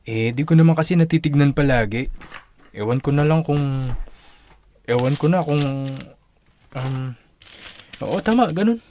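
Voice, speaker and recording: A man's voice: fairly high pitch, expressive, a little slow, pauses often, warm tone. An actor playing a nervous, evasive young friend in a radio drama, hedging and stalling in his answers.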